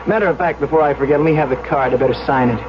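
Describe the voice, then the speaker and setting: A man's voice: on the high side, very fast, lo-fi sound, background noise, natural voice. An actor as earnest radio-drama man, old broadcast sound. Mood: friendly, bashful. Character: sober, serious male engineer, earnest and conflicted. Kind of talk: radio drama acting with first-person narration. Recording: band-limited 1970s radio drama, music behind.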